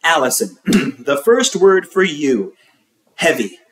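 A man voicing a cheerful, quirky woman schoolteacher in a high, sing-song storybook voice. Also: very animated, slow, fairly bright tone, a little hiss or hum, heavily acted. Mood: cheerful, bossy.

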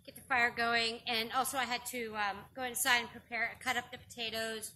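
A middle-aged woman with a high, sharply bright voice talks homely and chatty, stepping through how she cooks over an open fire. She fills pauses with ums as she explains. She is recorded outdoors on a yard by a camera a few meters off, with faint noise behind. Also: level tone. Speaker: host demonstrating open-fire cooking. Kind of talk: casual outdoor cooking demonstration. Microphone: camera on a stand a few meters away.

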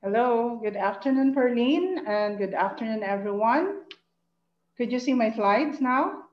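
A woman's voice: fairly high pitch, animated, a little slow. A woman with a Filipino accent giving a formal welcome speech as an academic project leader, in a muffled, echoey recording. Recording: muffled, echoey room sound.

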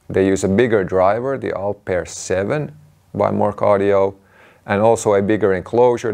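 A man in his thirties giving a measured, matter-of-fact explanatory talk to camera as a presenter. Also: fairly low pitch, gravelly, a little mellow.

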